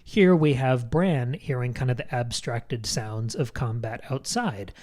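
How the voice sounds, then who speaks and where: A man's voice: medium pitch, unhurried, a little mellow. A man co-hosting a book club podcast, analysing a story in an articulate, thoughtful, conversational way.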